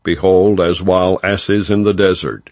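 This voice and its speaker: A man with a low voice reads scripture as an audiobook narrator. His delivery is solemn, formal and unhurried. The recording is close and dry. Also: steady tone, darkish tone, dry recording.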